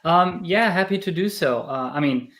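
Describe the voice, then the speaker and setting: Higher-pitched German-accented man in conversation. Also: lively intonation, moderate pace, fairly bright tone. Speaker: podcast guest, crypto YouTuber. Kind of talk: casual interview conversation.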